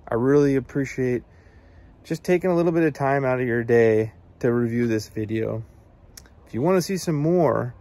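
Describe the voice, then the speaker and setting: A man's voice: medium pitch, a little mellow. An American man in his thirties, an outdoors video host, talks to the camera in a casual, earnest, plain-spoken way, giving practical advice. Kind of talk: casual instructional talk to camera. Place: outdoors among evergreen trees.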